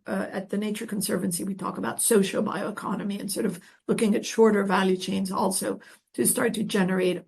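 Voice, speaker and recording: A woman's voice: mid-range voice, creaky, breathy edge. A woman from Colombia, an expert guest speaker answering audience questions at an online event in an earnest, emphatic manner.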